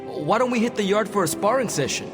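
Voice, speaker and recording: Casting: cocky young game hero, high-pitched and lively, casual slang, reverberant hall with music behind. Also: male voice, fast talker. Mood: easygoing. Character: cocky, excitable young man bonded with a vengeance spirit. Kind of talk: video game cutscene acting.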